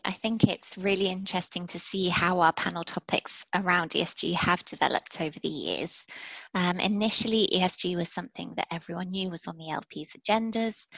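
A woman speaking as a conference producer, giving measured, professional answers as a podcast interview guest. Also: lowish voice, vocal fry, fairly bright tone, no echo, low-quality recording.

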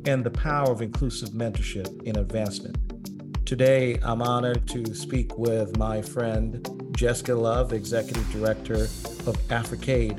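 A man's voice: fairly low pitch, darkish tone. A middle-aged man from Tanzania hosting a podcast interview, talking earnestly with frequent filler sounds. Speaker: podcast host.

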